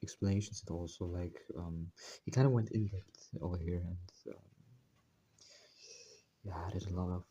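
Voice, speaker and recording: Deep-voiced man, halting and full of fillers. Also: steady tone, dark tone, highs cut off, slight background noise. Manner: hesitant, full of fillers and self-corrections; deliberately putting on an American accent. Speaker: channel host. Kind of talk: casual spoken introduction to a text study.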